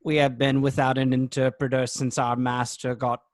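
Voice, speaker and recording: A man's voice: mid-range voice, little pitch movement, moderate pace, dry recording, studio quality, natural voice. Man acting a curt, officious robot overseer. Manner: clipped, commanding. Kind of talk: amateur table read over video call.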